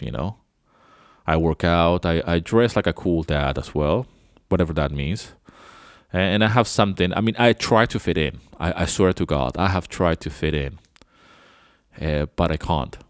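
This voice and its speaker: Very deep-voiced man rambling. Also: normal speed, no echo, studio quality. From Venezuela. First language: Spanish. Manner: rambling, loose and chatty. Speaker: podcast host. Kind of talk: casual reflective monologue.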